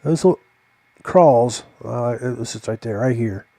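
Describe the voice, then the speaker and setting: An older man hosting a fishing podcast, talking casually in a halting, rambling way. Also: medium pitch, gravelly, mellow, no room sound.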